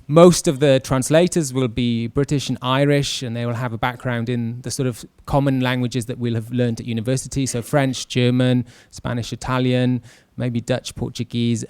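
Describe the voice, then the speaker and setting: A man, giving an informal, measured explanatory talk as a panel speaker into a handheld microphone. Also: mid-range voice, a little mellow, clean tone, dry recording. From West Wales. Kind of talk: informal careers talk to an audience. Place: classroom with a seated audience. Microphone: handheld microphone held close.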